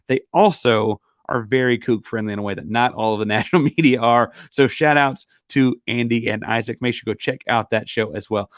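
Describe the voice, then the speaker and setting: A man's voice: medium pitch, no room sound, studio quality. A man hosting a solo sports podcast, talking casually and rambling with fillers, his voice a bit worn. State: losing his voice. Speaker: podcast host.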